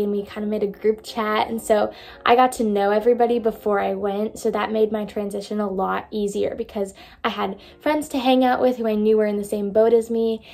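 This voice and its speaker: A woman's voice: mid-range voice, vocal fry, a little mellow. A young woman from New Jersey, a college freshman, talking casually about her first semester in long run-on sentences. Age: young adult. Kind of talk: casual testimonial.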